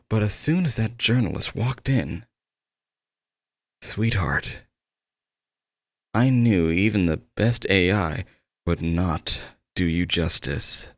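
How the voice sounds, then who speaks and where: Audio roleplay lead: male actor as unhinged, devoted young man, unhurried, pleading, close and dry sound. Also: lowish voice, dry recording, heavily acted. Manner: tender, pleading, fervent. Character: obsessed, lovesick young male game developer, possessive and unhinged. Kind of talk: audio roleplay, intimate monologue to the listener.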